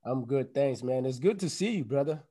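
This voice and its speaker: A man's voice: medium pitch, expressive, brisk, warm tone, slightly rough. A man hosting a soccer podcast, friendly and teasing as he asks his guest questions. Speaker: podcast host. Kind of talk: podcast interview.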